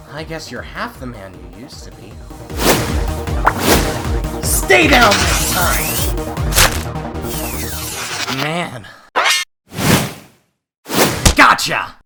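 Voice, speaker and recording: A man playing a cocky, excitable young creature scavenger in an animated comic dub, talking to himself and exclaiming freely. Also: sits high, full character voice. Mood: startled, fighting. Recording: music behind the voice.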